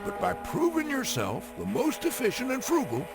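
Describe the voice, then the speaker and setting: An actor playing a wry, folksy, teasing railroad narrator in a game cutscene, giving theatrical briefings with drawn-out emphasis. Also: male voice, extremely high pitch, wildly swooping pitch, gravelly, exaggerated voice. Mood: sly, coaxing. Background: music.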